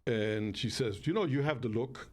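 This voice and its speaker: A man's voice: medium pitch, moderate pace, background noise. An older man hosting a casual talk show, telling rambling stories with lots of fillers. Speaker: show host. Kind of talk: casual chat.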